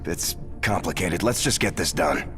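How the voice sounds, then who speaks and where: A determined military captain, with a low voice and an earnest, businesslike delivery. Cinematic game music runs behind him. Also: male voice, lively intonation, normal speed. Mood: restrained, insistent.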